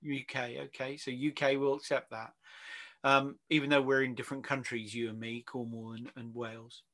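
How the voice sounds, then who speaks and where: A man's voice: mid-range voice, level tone, normal speed, pushed and bright. A man hosting a casual interview over a video call, rambling, affirming and teasing as he goes. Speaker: interview host.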